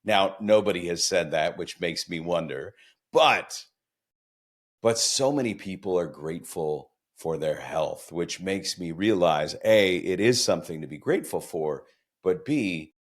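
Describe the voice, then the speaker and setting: A man's voice: low voice, a little slow. A man hosting a podcast interview, chatty and enthusiastic, asking long winding questions. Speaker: podcast host.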